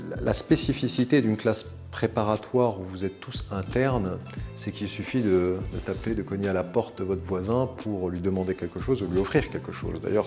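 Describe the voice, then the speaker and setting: A man in his forties, raised in Morocco, talks through his past with a very low voice. He speaks in a measured, articulate way and often pauses to hesitate. Soft music plays faintly underneath. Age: 40s. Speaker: interview guest. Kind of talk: recounting his career to camera.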